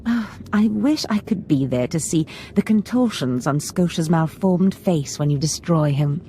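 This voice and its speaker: An actress playing a scheming, ambitious Sith lord mentor in a video game, theatrical and conspiratorial. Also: female voice, low voice, animated, measured pace, warm tone, slight background noise. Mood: gleeful.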